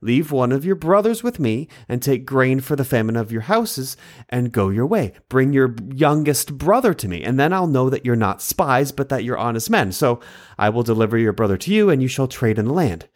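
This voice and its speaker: A man's voice: medium pitch, sing-song, normal speed, darkish tone, voice pushed up, close to an everyday voice. A man voicing a group of frightened, guilt-ridden grown brothers, pleading in a raised, flustered voice. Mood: anxious pleading.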